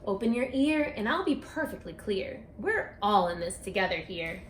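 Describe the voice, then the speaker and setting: A woman reading a rhyming picture book aloud for children, speaking expressively and deliberately, straight to her young listeners. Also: mid-range voice, big pitch swings. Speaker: children's book author and read-aloud presenter. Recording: echoey room with faint background noise.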